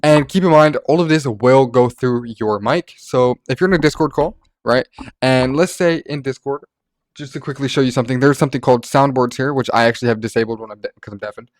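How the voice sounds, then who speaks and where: A man's voice: medium pitch, brisk, no room sound. A man narrating a casual gaming tutorial in an informal, rambling way, like a content creator talking to followers.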